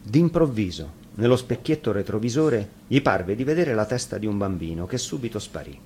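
A man's voice: on the low side, lively intonation, moderate pace, a little mellow, faint music behind. A man narrating a scripted fairy tale as a dramatic storyteller.

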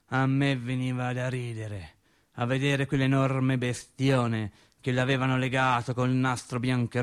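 An actor playing a bitter, sardonic factory worker in a theatrical radio monologue, speaking emphatically and colloquially. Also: male voice, high voice, a bit bright, full character voice. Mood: bitter, mocking. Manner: emphatic, colloquial, sarcastic, with deliberate repetitions. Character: bitter, sardonic Italian factory worker recounting workplace deaths. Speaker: stage actor.

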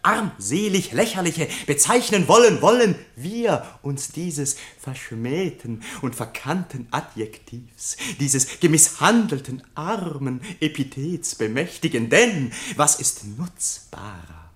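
An actor playing a pompous, long-winded chairman of a literary society in a German radio play, speaking in a deliberate, oratorical way. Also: fairly high pitch, expressive, fairly bright tone. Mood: pompous, declaiming. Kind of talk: German radio play acting, mock-solemn speech.

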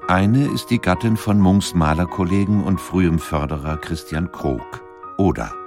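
A man reading scripted documentary narration in a measured, articulate manner. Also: low-pitched, music in the background.

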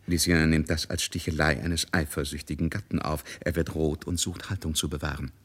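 A man's voice: a bass voice, flat delivery, fairly quick, fairly bright tone, breathy edge. A man reading scripted literary narration for a radio drama.

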